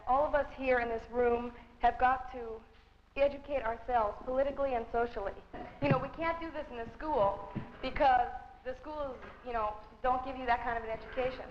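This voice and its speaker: A woman's voice: high-pitched, fairly even intonation, a little fast, slight background noise. A young American woman, a student activist, addressing a group about organizing. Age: teens to young adult. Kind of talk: political speech to a group. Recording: roomy, dull old 16mm film sound.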